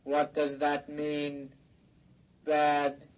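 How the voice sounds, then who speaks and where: An actor playing an obedient, childlike but dangerous robot with a harsh, droning voice in a 1950s radio drama. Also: male voice, a little high, drawn-out words, dull audio. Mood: flat, innocent. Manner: droning, flat, simple childlike phrasing. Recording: band-limited 1950s radio recording.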